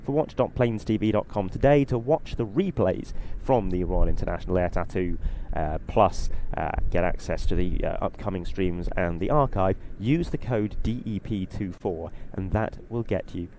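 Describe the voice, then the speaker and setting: A man with a slightly husky, mellow voice presents live airshow coverage. He explains things in a measured, informative manner, a little short on sleep, with airfield noise behind him. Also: low-pitched. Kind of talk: live broadcast commentary, explanatory and ad-libbed.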